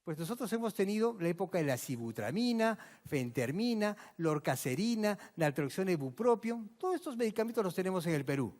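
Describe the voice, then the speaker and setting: A man from Peru delivers a medical lecture in a large hall, his voice a bit higher than most men's and trailing long reverb. He talks emphatically and asks the audience questions. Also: very animated, fairly quick, few pauses, darkish tone. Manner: emphatic, rhetorical questions, addresses audience directly. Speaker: physician lecturer.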